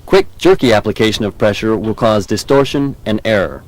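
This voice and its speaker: An American man reading scripted training film narration in a measured, formal, instructional manner. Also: on the low side, expressive, dry recording, poor audio. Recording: 1990s VHS training film soundtrack.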